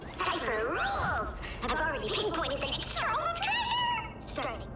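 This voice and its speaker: A woman's voice: very high voice, wildly swooping pitch, unhurried, exaggerated voice. A woman voicing a small, cheeky, eager treasure-hunting creature in a video game, with a cutesy, boastful delivery. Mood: excited. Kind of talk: video game character acting. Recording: distant, roomy, heavy noise behind.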